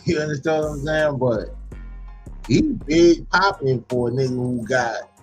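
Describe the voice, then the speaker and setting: A man's voice: medium pitch, measured pace, soft background music. A man giving casual, profane commentary as a reaction show host, full of slang. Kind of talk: casual commentary on a played clip.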